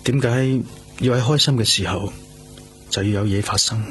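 An actor playing an earnest, brooding police detective in a radio drama, with a Hong Kong Cantonese accent. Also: male voice, lowish voice, moderate pace, lightly acted. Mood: gentle concern. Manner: earnest, introspective. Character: brooding male police detective, tender but afraid of loss. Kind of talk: radio drama acting with inner monologue. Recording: studio radio drama under loud music.

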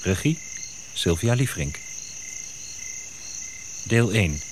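A man's voice: lowish voice, sing-song, takes it slowly, noisy background. A man reading a scripted introduction as the announcer of a radio drama.

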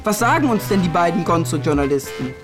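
A woman's voice: lowish voice, very animated, fast talker, over music. A woman playing an earnest, mock-pompous presenter in a German radio drama, declaiming rhetorical questions. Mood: mock-serious, insistent.

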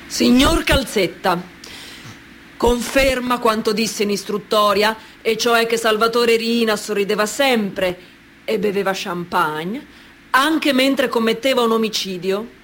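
An actress playing a stern courtroom questioner, formal and deliberate, in a radio drama. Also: female voice, on the high side, fairly bright tone, a little hiss or hum.

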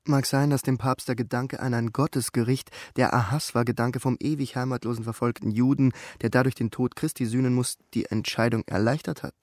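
A man's voice: medium pitch, fairly even intonation, a little fast, few pauses, a little mellow, no room sound, clean studio sound, natural voice. A man giving a scripted dramatic reading of a radio play, narration and stage directions included.

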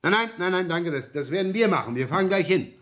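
An actor playing a wry, easygoing, rumpled police inspector with a teasing, casual way of talking in a German radio crime drama. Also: male voice, high voice, expressive, quick pace, a bit bright. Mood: brisk, amused.

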